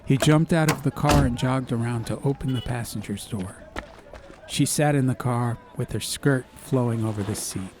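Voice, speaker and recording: A man's voice: mid-range voice, moderate pace, noisy background. A man reading an atmospheric story as an audiobook narrator, in a scripted literary style.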